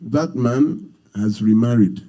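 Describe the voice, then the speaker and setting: Earnest Nigerian man, very dark voice. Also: mid-range voice, takes it slowly. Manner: earnest, emphatic, repeats phrases. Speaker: speaker leading a marriage seminar. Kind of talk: unscripted public talk to an audience.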